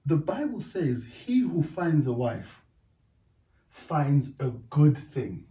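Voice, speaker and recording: A middle-aged South African man whose first language is Zulu. He talks in a deliberate way in a casual discussion and keeps checking in with "right?". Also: on the high side, very animated, mellow. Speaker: podcast co-host. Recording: echoey room, distant mic.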